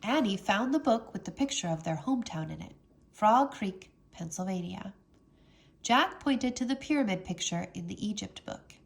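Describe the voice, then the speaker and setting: A woman reading a children's storybook aloud as a teacher, with expressive, dramatic narration. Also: mid-range voice, moderate pace, vocal fry.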